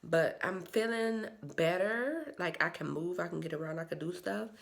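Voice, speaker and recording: Stuffy-nosed woman with a gravelly voice. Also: on the low side, moderate pace. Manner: chatty, rambling, uses slang. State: stuffy, nasal from a cold. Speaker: vlogger and soldier. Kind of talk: casual vlog chat.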